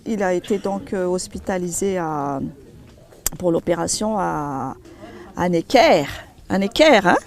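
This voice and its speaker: A woman's voice: fairly low pitch, animated, unhurried. Woman from Madagascar, informal testimonial. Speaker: charity association member.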